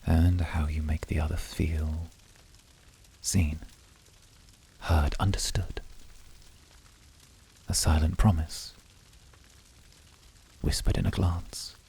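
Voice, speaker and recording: Casting: male actor as calm, brooding incubus, extremely deep, raspy, quietly seductive. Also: exaggerated voice. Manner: measured, quietly seductive, intimate. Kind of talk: scripted flirty ASMR roleplay.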